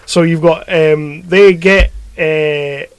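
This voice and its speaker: A man's voice: fairly high pitch, fairly even intonation, slow pace, clear, resonant tone, no echo. The mate at the pub table with the lighter male voice, bluntly swearing his way through a film rant, heard up close with no room around him. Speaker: podcast host reviewing films. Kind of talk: casual chat.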